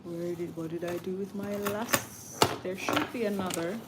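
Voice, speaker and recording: A woman's voice: lowish voice, unhurried. A woman with a Jamaican accent hosting a casual livestream, chatting informally with viewers and drifting into Patois. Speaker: livestream host. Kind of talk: casual live chat with viewers. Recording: clear background noise.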